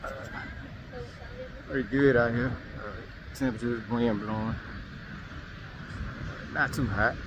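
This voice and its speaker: A laid-back man in his thirties with a drawl talks loosely to his phone camera outdoors on a beach. The sound is muffled and a bit dull, and steady wind and surf noise sit clearly under his voice. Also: mid-range voice, slow pace, long pauses. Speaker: vlogger. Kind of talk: casual vlog narration. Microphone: phone at arm's length.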